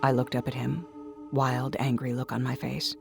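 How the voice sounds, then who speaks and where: A man delivering dramatic first-person scripted story narration in an intense, deliberate manner. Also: mid-range voice, level tone, gravelly. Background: music.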